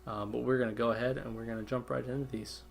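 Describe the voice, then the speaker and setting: A man's voice: mid-range voice, little pitch movement, fast, creaky. A man hosting a gaming channel, giving a chatty, enthusiastic casual intro with lots of fillers.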